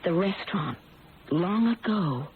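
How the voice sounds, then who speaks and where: An actress playing a mild, lovelorn woman turned jealous avenger, speaking earnestly and deliberately in radio drama style. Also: lowish voice, lively intonation. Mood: accusing. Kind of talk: old-time radio drama acting. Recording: noisy, tinny old radio recording.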